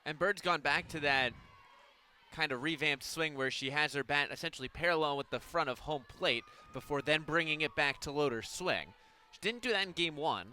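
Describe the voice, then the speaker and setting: A man giving conversational color analysis on a softball radio broadcast, explaining things in an informal way. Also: a little high, normal speed.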